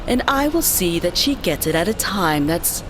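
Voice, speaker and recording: A woman's voice: on the low side, very animated, moderate pace, noisy background. A woman playing an officious, unbudging corporate receptionist in an audio drama, prim, formal and dismissive. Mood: politely dismissive.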